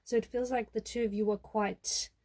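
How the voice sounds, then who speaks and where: Female tarot reader, soft-edged mellow tone, speaks directly to the listener, repeats and rephrases key phrases. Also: a little high, steady tone, normal speed. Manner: repetitive, rephrasing each point for emphasis. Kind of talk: intuitive tarot reading addressed to viewer.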